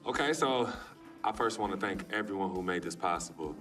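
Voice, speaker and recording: An actor playing a humble young male basketball rookie in a sports video game, speaking softly and slowly with easy laughs. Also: low-pitched, lively intonation. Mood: grateful. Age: young adult. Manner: soft-spoken, slow, laughs easily. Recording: distant mic with music behind.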